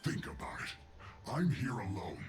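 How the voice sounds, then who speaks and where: A sulky, defensive soldier. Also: male voice, lowish voice, very animated, a little slow, roomy sound, soft background music. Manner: defensive, protesting. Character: sullen male soldier, defensive and aggrieved.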